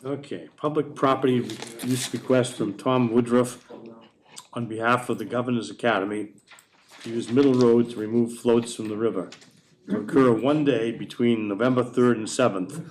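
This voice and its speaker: A man's voice: mid-range voice, steady tone, normal speed, a little hiss or hum, low-quality recording. A man chairing a town select board meeting, reading agenda items in a plain, procedural way.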